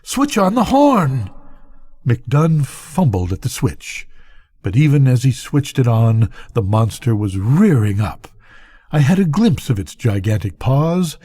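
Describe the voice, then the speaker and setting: An older man reading a bedtime story aloud as a storyteller, with deliberate, dramatic phrasing. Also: mid-range voice, warm tone, breathy edge.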